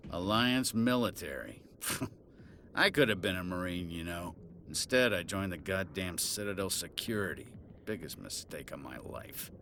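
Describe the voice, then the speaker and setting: A man's voice: sits low, lively intonation, a little slow, a bit bright, slight background noise, full character voice. An actor playing a disgraced middle-aged policeman in a video game, boozy, sardonic and sneering. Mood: sardonic, bitter.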